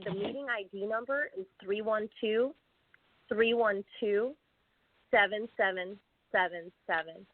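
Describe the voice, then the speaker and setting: Woman pitching products over a phone line. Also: dry recording. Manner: slow, careful over numbers and details. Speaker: product presenter. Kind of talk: promotional pitch on a call. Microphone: call-in line. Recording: tinny phone-like call audio.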